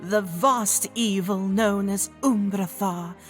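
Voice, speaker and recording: A woman's voice: medium pitch, takes it slowly, faint music behind, close to an everyday voice. A woman playing a solemn oracle in a fantasy audio drama, speaking in a grave, ceremonial way. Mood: solemn proclaiming. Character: solemn female oracle addressing her people.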